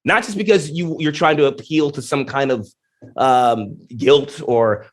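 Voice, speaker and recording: A man, a genetics professor, speaking in a podcast interview with emphatic, animated explanations full of rhetorical questions. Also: mid-range voice. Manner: energetic, emphatic, many restarts and rhetorical questions.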